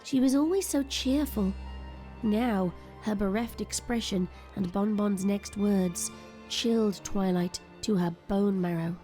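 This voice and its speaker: Mellow woman narrating a dramatic story. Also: medium pitch, unhurried, faint music behind.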